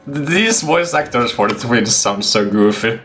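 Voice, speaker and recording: A young man streaming a game from home, reacting casually in an amused, excitable way. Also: mid-range voice, unhurried, faint music behind. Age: young adult. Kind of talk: casual live reaction commentary. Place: small room at home.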